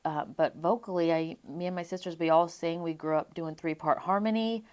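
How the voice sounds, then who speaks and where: A young adult woman from Las Vegas, a singer being interviewed, telling her stories in an animated way and laughing often. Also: fairly low pitch, a little fast. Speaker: guest singer. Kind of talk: casual interview chat.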